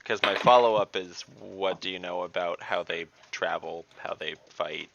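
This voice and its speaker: A man playing an online tabletop game, talking casually and haltingly as he restarts his questions. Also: low-pitched, gravelly, a bit bright, muffled recording. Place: indoors, online voice call.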